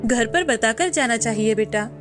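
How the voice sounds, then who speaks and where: A woman's voice: on the high side, animated, moderate pace, over music, voice pushed down, natural voice. Woman as a worried scolding old grandmother.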